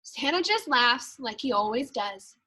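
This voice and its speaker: An eager, fretful little Christmas elf from a children's storybook, read by a woman. The voice is high and bright. The delivery is chipper and confiding, with a punctual worrier's urgency. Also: lively intonation, unhurried, full character voice. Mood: worried, hurried.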